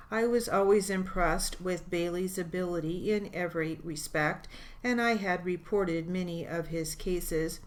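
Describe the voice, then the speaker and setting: A woman reading literary passages aloud as steno dictation practice, in a deliberate, measured way. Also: fairly low pitch. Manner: deliberate, evenly paced reading with pauses.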